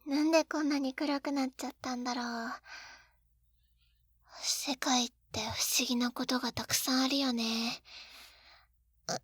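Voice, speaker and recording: A woman's voice: high-pitched, flat delivery, normal speed, long pauses, fairly bright tone, husky, no room sound, studio quality. A woman playing an eager, clumsy, sweetly pouty young wife in an intimate binaural roleplay, with a cutesy, flustered delivery. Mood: sheepish, earnest. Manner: cutesy, flustered, pouting, hesitant little gasps. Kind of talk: Japanese situation-voice roleplay, intimate ASMR audio drama. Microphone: close binaural 3Dio microphone.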